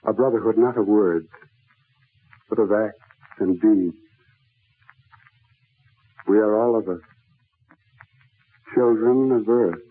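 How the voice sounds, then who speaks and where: A man playing a solemn, visionary voice of mankind, delivering earnest, measured oratory in 1940s radio style. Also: lowish voice, slightly rough. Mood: earnest, resolute. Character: solemn, visionary everyman, timeless spirit of mankind. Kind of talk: 1940s radio dramatic recitation, prayer-like. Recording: tinny 1940s radio broadcast with music behind.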